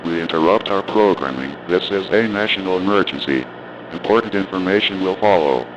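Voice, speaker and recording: A man playing a grave emergency broadcast announcer, reading a formal script in a solemn, measured, official manner. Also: sits low, close to an everyday voice. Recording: dull lo-fi broadcast with clear noise.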